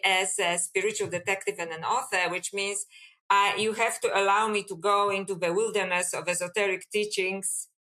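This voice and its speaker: A woman's voice: mid-range voice, bright, forceful tone. A woman with a Polish accent, an author and academic, answering interview questions in a thoughtful, musing way.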